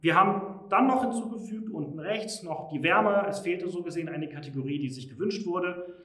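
A man's voice: high voice, moderate pace, fairly bright tone. A young man giving a keynote product presentation on stage, explaining features casually with frequent filler sounds. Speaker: company presenter. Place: conference room on stage. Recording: echoey room.

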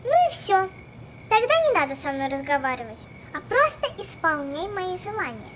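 A spoiled cartoon diva girl played by a woman with a very high, highly animated voice. She is bossy and self-important, handing out orders. Some clear background noise comes with it. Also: normal speed. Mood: haughty. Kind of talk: children's cartoon dubbing.

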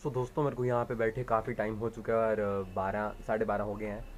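A man's voice: fairly low pitch, level tone, fairly quick, very noisy. A man, chatting casually and playfully to the camera as a vlogger, addressing viewers directly. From India. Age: 20s. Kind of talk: casual vlog chat to camera.

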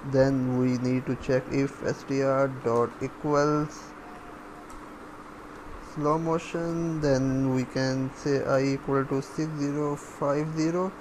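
A man giving a coding screencast tutorial, dictating step by step in short, halting phrases. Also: mid-range voice. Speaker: programming tutorial instructor. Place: voice-over for a screen recording. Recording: clear steady background noise.

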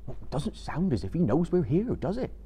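A man's voice: fairly high pitch, sing-song, normal speed, warm tone, slightly rough, voice pushed up, heavily acted. A man voicing a suspicious, indignant loyal hobbit with blunt rustic phrasing in a dramatic story reading. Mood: anxious whispering.

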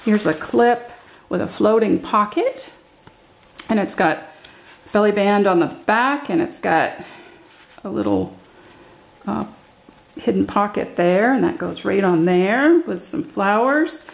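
An older woman giving a casual, unscripted show-and-tell as a craft vlogger, halting and thinking aloud as she goes. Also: medium pitch, animated, creaky. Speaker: craft vlogger showing her handmade journals. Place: indoors at a table, hands-only overhead camera.

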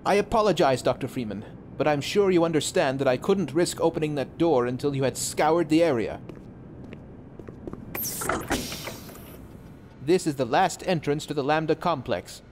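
A man playing a nervous, apologetic scientist in a video game, talking formally and wordily with halting asides. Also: a little high, darkish tone, natural voice. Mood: apologetic, explaining. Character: nervous, apologetic, talkative male scientist in a secret lab. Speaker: game character. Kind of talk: video game dialogue acting. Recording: long hall reverb, faint background noise.

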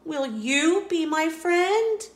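A small dinosaur girl, nervous and always hungry, pipes up in a high, childlike storybook voice as a woman reads her part. Her pitch rises and falls a lot, and the lines come out slowly. A roomy echo hangs around her. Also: animated, heavily acted. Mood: hopeful.

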